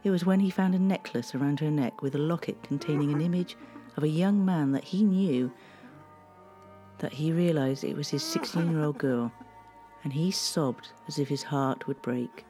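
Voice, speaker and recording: A mellow, warm-toned narrator reading a historical account in a measured, solemn way. The scripted narration moves evenly at a middle pitch, with faint music underneath. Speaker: documentary narrator.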